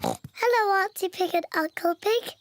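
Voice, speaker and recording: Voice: very high voice, unhurried, noisy background. A performer voicing a cheerful, bossy little girl pig in a British preschool cartoon, with simple childlike phrasing. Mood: eager. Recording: music behind the voice.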